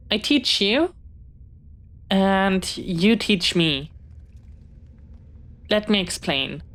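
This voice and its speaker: Woman acting as slow-paced, bookish young pirate. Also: on the low side, clean tone. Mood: excited, hopeful. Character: young pirate captain's child, bookish, eager, friendly. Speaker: audio roleplay performer. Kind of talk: one-sided audio roleplay acting.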